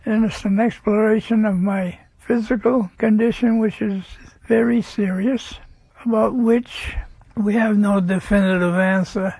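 A man in his eighties, weak from illness, talking softly and haltingly with his family at home. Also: high voice, fairly even intonation, creaky, husky, no room sound, low-quality recording. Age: 80s. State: weak from illness, whispering at times. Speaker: father being interviewed at home. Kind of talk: casual conversation.

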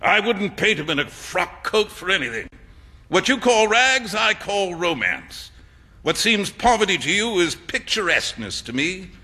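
Man acting flamboyant painter, piercingly bright, echoey hall. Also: high-pitched, sing-song, a little slow, pitched above the performer's own voice, heavily acted. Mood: airy, teasing. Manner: theatrical, teasing, exuberant, slipping into French phrases. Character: flamboyant, amused male English painter, worldly and teasing. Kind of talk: acted storybook dialogue. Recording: echoey hall with long reverb.

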